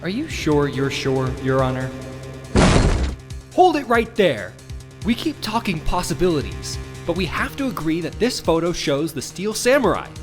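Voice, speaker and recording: Game role: dogged young male lawyer , acted by a man, questioning emphatically with lively pitch over music. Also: normal speed. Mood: forceful objection. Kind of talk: video game fan voice acting.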